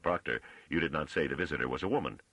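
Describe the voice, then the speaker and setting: Man playing a pompous, very deep-voiced male scholar. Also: expressive, fast, sharp tone, slightly rough, no echo, full character voice. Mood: curt, haughty. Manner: pompous, condescending, curt. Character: haughty, peevish male scholar of high rank.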